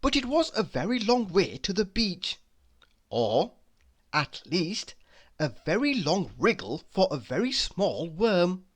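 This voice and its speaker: A woman reading a children's story aloud, deliberately and with dramatic emphasis. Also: on the low side, sing-song. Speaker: storybook reader. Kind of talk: expressive children's read-aloud narration.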